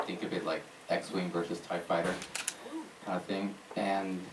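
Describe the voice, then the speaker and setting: A man's voice: deep voice, level tone, unhurried. A man from the United States who grew up in Germany, talking casually as a game developer on a panel. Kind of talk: casual self-introduction at a panel. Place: live talk event in a room. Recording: echoey room, distant mic, clear background noise.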